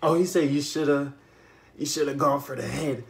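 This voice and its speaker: A young man giving a casual, unscripted movie recap, telling it in an animated way with repeated phrases and slangy asides. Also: fairly high pitch, normal speed, gravelly. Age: young adult. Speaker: YouTube film reviewer. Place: small bedroom, indoors.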